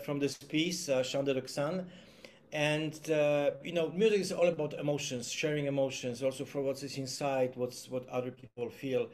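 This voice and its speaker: A man's voice: medium pitch, a little mellow. A man with a Polish accent giving a talk as a concert musician, hesitant with many fillers and restarts, partly reading a prepared text. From Poland. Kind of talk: presentation, partly read aloud.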